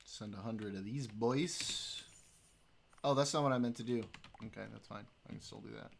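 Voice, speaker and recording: A man's voice: lowish voice, normal speed, creaky, slight background noise. A man hosting a livestream, chatting casually with his chat while he plays a game. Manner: laid-back, talks to chat while playing. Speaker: livestream host.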